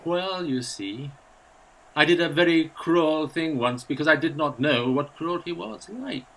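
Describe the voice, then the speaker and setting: A man's voice: a little high, animated, a little slow, fairly bright tone, exaggerated voice, rough recording. Man acting a hesitant, doddering old English rector. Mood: gentle, troubled. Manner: hesitant, apologetic, nervously chuckling. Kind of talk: radio play acting. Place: actor's home.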